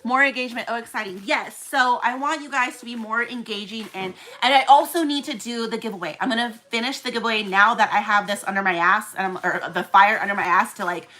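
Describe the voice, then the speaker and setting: Bright-voiced woman with a Kiwi accent. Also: a little high, fairly quick, hardly any pauses, rough recording. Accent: New Zealand. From New Zealand. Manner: rambling, with many fillers and restarts. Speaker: online seller and collector group admin. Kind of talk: casual livestream chat.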